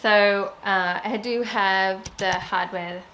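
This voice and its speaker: A woman's voice: mid-range voice, steady tone, slow pace, sharp tone, slight background noise. A woman from Australia with an Australian accent, chatting casually through a voice-over with frequent laughs. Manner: chatty, laughs often, self-deprecating. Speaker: furniture flipping creator.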